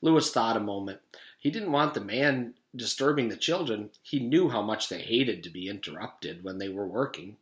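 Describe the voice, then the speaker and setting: A man narrating a children's storybook as a teacher reading aloud, with expressive, deliberate storytelling. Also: lowish voice. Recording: echoey room.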